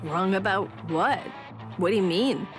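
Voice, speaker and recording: A woman's voice: medium pitch, a little slow, close to an everyday voice. An actress playing a plucky, direct young female warrior in an anime game dub. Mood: puzzled, questioning. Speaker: video game voice actor. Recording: music behind the voice.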